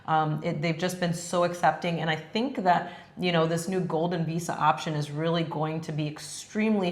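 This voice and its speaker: Co-host, female, native Spanish speaker, friendly and filler-heavy, recorded in a roomy space. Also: low-pitched. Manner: friendly, laughs often, many fillers. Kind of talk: casual travel chat. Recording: echoey room.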